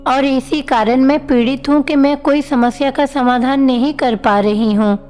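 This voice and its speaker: A woman's voice: high voice, steady tone, normal speed, darkish tone, faint music behind, close to an everyday voice. A woman playing a loving, tender mother goddess in a devotional story. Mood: troubled, tender. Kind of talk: devotional animated story dialogue.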